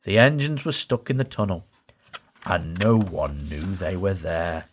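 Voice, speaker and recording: A man reading a children's story aloud with animated, expressive narration. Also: sits low, a little slow. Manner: animated, acts out dialogue. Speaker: storybook reader.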